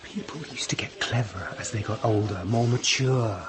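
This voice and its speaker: An actor playing a discontented, sardonic misfit in a radio drama, speaking with awkward, questioning prickliness. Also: male voice, lowish voice, moderate pace, lightly acted.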